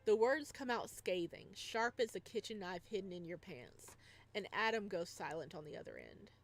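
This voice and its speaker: A woman reading a visual novel aloud in a dramatic, expressive way, with casual commentary. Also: mid-range voice, moderate pace. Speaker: game streamer.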